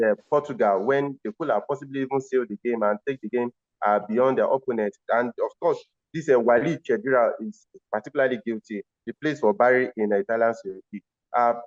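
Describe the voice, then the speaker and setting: A man hosting a football discussion and putting questions to his panelists. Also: medium pitch. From Nigeria. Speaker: host. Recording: slightly dull, lo-fi audio.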